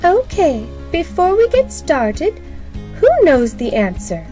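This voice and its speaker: An encouraging female elementary teacher from a children's storybook, acted out by a woman reading aloud. She speaks high and emphatically, instructing with gentle firmness over faint music. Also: huge pitch swings, takes it slowly, heavily acted. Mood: bright, encouraging.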